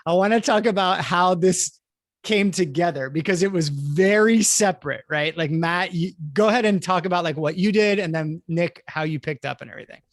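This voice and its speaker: A man's voice: sits high, moderate pace, no echo, studio quality. A man moderating a live theatre panel, explaining things with enthusiasm.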